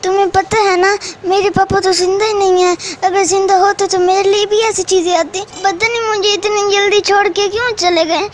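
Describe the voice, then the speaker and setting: A very high, small voice for a poor little girl sparrow in a children's cartoon. She is plaintive and childlike, pleading as she speaks. The recording carries a light layer of noise. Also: steady tone, normal speed. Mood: sad, tearful.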